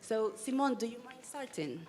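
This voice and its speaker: Woman, panel moderator, higher pitch, informal introduction, reverberant hall. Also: animated, normal speed, a little mellow, slight background noise. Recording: echoey hall, amplified from stage.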